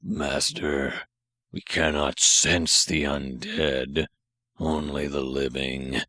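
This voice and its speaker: Audiobook undead servant: bottomless pitch, droning flat delivery, forceful edge, deferential and slow. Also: male voice, no echo, exaggerated voice. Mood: flat, subservient. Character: slow, servile male zombie minion.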